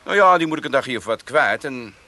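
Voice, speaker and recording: An actor playing a brash, wheedling hustler with an Amsterdam accent and slangy, pushy delivery in a comic radio play. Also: male voice, medium pitch, lively intonation, fairly quick, bright, forceful tone. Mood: wheedling, sly. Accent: Amsterdam Dutch.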